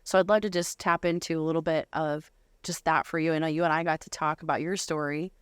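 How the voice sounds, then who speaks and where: A woman's voice: low voice, steady tone, quick pace, clean tone, no room sound, clean studio sound. A woman in a casual conversational interview, speaking in an informal, effusive way.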